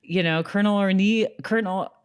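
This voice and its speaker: A woman's voice: medium pitch, steady tone, fairly quick, clear, resonant tone. A woman co-hosting a podcast, recapping an episode in a casual, conversational storytelling way. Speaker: podcast co-host.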